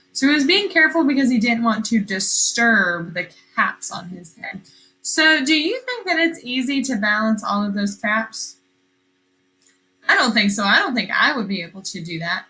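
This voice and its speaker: Woman primary teacher, read-aloud style: unhurried, bright, slightly high, explanatory. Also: low-quality recording. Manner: explanatory, questioning, carefully enunciated. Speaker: teacher reading a picture book aloud. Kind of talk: read-aloud lesson for young children.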